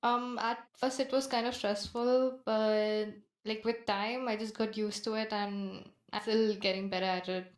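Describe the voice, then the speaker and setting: A woman's voice: medium pitch, little pitch movement, unhurried, few pauses, echoey room. A woman, answering interview questions briefly and hesitantly as team captain. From India. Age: 20s.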